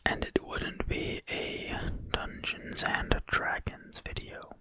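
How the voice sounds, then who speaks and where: A person reading in a quiet, breathy whisper, soft and deliberate, as in an ASMR reading of a fantasy book. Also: no room sound. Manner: slow, soft, unhurried, deliberate. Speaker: ASMR video creator and reader.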